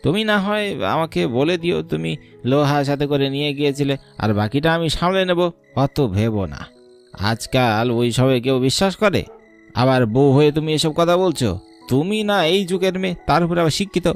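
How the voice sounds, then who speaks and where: Man acting a higher-voiced, carefree young husband. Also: lively intonation, brisk, soft background music. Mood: dismissive reassurance. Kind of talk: cartoon dialogue acting.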